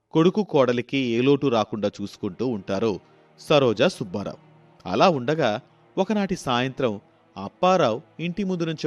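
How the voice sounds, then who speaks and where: A man's voice: medium pitch, dull audio. A man narrating a scripted moral story as a storyteller.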